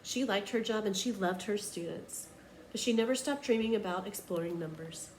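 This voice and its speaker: A woman reads a children's picture book aloud in the way of a teacher. Her delivery is expressive and emphatic, at a medium pitch. There is faint noise behind her voice. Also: moderate pace, poor audio.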